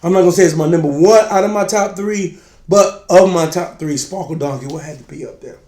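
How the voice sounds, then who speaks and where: A man with a slightly raspy, congested voice chatting casually as co-host of a drinks review show. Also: high-pitched, fairly quick, dark tone. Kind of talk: casual chat. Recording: roomy, echoey sound.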